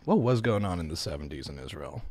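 A man's voice: low voice, big pitch swings, quick pace, darkish tone. Male guest, thirties, casual and loose, full of "like" and "I mean". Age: 30s. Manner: laid-back, rambling with fillers and filler "like". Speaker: podcast guest. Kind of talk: casual chat.

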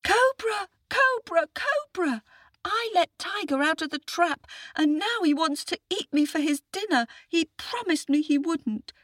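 An earnest little storybook boy, voiced by a woman, pitched very high and light. He pleads and protests with frightened indignation, his voice jumping expressively up and down. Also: lively intonation, measured pace, a bit bright, no room sound, clean studio sound, heavily acted. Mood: pleading.

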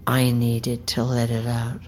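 Very low-voiced grieving mother. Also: completely flat delivery. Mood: grieving, hushed. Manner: slow, deliberate, accusing, often hushed. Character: grieving, bitter, unforgiving bereaved mother. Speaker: actor in a fiction podcast. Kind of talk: dramatic audio drama acting. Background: faint music.

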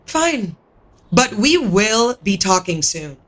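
An actress playing a proud, insistent young princess in an audio drama, earnest and formal. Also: female voice, medium pitch, big pitch swings, takes it slowly, fairly bright tone. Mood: conceding.

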